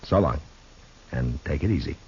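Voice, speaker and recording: An actor playing a firm, patient frontier marshal with an American accent in a 1950s radio Western, authoritative, dry and measured. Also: male voice, extremely low pitch, animated, a little mellow. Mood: patient, easing. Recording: muffled, hissy 1950s radio recording.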